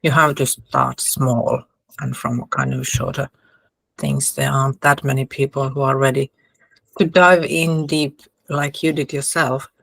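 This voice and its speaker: Podcast host, woman with a Finnish accent, gravelly voice, dry close sound. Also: a bass voice, little pitch movement, a little slow, a bit bright, no echo, low-quality recording. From Finland. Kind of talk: casual interview chat.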